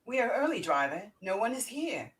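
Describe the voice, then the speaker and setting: Woman playing a polite, earnest young gentleman, faint noise. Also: on the high side, sing-song, normal speed. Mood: polite, curious. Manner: polite, formal. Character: polite young Englishman, an earnest travelling solicitor. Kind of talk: amateur live dramatic table read.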